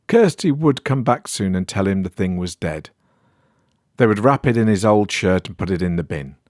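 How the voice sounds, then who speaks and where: A man's voice: on the low side, darkish tone, no room sound, studio quality. A man with a British accent reading a weird horror story as scripted narration.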